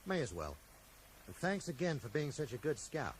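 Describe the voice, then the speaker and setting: A man's voice: medium pitch, huge pitch swings, normal speed, slight background noise, low-quality recording. An actor playing a puzzled, brooding man in a radio drama, questioning and thinking aloud. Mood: troubled, probing. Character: puzzled, brooding American man investigating a mystery. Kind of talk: 1980s radio drama acting.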